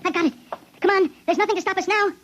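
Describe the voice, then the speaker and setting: A man's voice: extremely high pitch, fairly even intonation, fast talker. A man playing an anxious five-inch-tall shrunken man in a 1950s radio drama, urgent and clipped, his voice artificially raised to sound miniature. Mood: urgent, frightened. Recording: dull, noisy 1950s radio recording.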